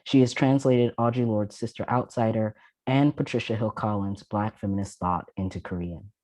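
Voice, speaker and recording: A man's voice: fairly low pitch, fairly even intonation, a little mellow, no room sound, rough recording. A man hosting a panel event, reading formal introductions of the speakers from notes with occasional filler sounds. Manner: measured, reads from notes with some filler "uh"s.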